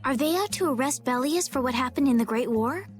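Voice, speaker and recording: A woman playing a gentle, polite young noblewoman in a video game, earnest and anxiously questioning. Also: high voice, lively intonation, a little fast, soft background music. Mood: worried. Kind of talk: video game cutscene voice acting.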